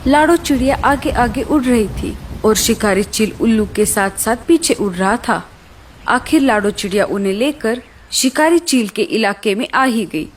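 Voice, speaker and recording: A woman's voice: fairly high pitch, normal speed, slight background noise. A woman reading scripted narration for a children's animal story as the storyteller.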